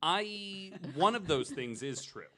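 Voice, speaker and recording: A man's voice: sits high, lively intonation, slow pace, crowd noise. A man hosting a casual podcast chat in a wry, tongue-in-cheek way. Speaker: podcast host.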